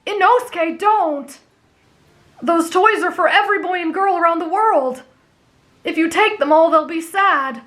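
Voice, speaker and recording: A woman's voice: very high voice, a little slow, a bit bright. A woman voicing a kind, earnest teenage boy with a sincere, reassuring big-brotherly manner, in an anime fan roleplay. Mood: pleading.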